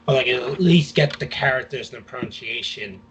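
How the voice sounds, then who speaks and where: A man's voice: medium pitch, moderate pace, a crowd in the background, low-quality recording. A young man co-hosting a podcast, talking in a loose, rambling way and hedging with constant "like". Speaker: podcast co-host. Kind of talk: casual conversation.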